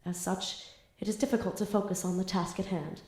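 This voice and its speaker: A woman's voice: medium pitch, fairly even intonation, a little fast, mellow, breathy edge, close to an everyday voice. A woman playing a flippant, theatrical teenage student magician in an audio drama, with a wordy, casual manner. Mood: dramatic narration. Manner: casual teen banter, theatrical wordy narration. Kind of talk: audio drama acting and first-person narration.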